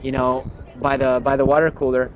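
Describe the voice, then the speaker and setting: A man's voice: mid-range voice, level tone, brisk, lo-fi sound. A man talking casually as a podcast host, animatedly telling stories and acting out example greetings. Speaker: podcast host and memory expert. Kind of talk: casual conversational discussion.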